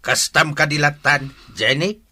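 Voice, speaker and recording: A man's voice: fairly high pitch, fairly even intonation, unhurried, sharp tone, slight background noise. An actor playing a stern, hot-tempered middle-aged Filipino father in a radio drama, scolding in exclamations. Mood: angry scolding.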